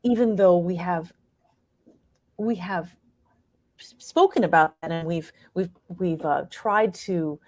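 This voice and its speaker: An American woman speaking reflectively as an interview guest, thoughtful and halting as she searches for her words. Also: lowish voice, darkish tone, no room sound. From New York state, United States. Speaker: interview guest, playwright and performer.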